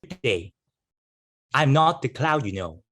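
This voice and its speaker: A man's voice: mid-range voice, big pitch swings, a little slow, fairly bright tone. A man playing a polite, formal artificial intelligence caretaker in staged reader's theatre.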